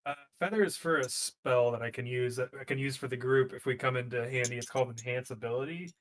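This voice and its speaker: A man's voice: medium pitch, fast, a bit bright. A man in casual role-playing game chat, explaining things in a hesitant, conversational way as a player.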